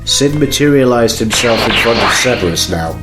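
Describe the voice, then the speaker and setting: A man's voice: medium pitch, animated, normal speed, loud music behind the voice. A man reading scripted narration as the narrator of an amateur audio drama. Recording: roomy, echoing home recording.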